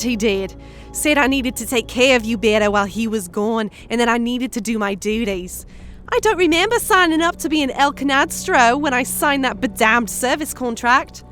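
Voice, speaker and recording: A woman's voice: sits high, lively intonation, moderate pace, a bit bright, faint music behind. A woman playing a blunt, angry servant who scolds and complains in a fantasy audiobook. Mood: chiding, grumbling. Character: Makarat, grumbling, angry female servant, blunt-tongued. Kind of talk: fantasy audiobook dialogue over music.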